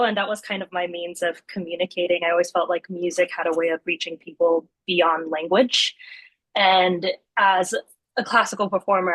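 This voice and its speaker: A woman's voice: mid-range voice, a bit bright, no echo, rough recording. A young adult woman from Singapore, a guest in a casual interview, talking thoughtfully and laughing often.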